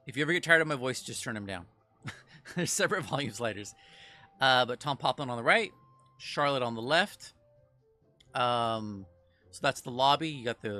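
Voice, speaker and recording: Bright-voiced rambling man. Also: mid-range voice. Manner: rambling, self-interrupting, laughs often. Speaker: game developer guest. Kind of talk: casual stream chat.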